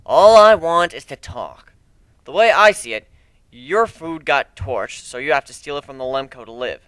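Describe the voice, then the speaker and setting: A man's voice: on the high side, animated, normal speed, fairly bright tone, clear, resonant tone, dry recording. A man voicing a brash, cocky griffin adventurer with a blunt, sardonic way of talking, in a fantasy story reading. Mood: negotiating.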